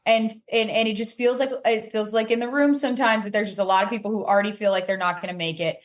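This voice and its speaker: A woman's voice: mid-range voice, fairly even intonation, fast. A woman speaking casually and emphatically as an opinionated player being interviewed on a sports podcast.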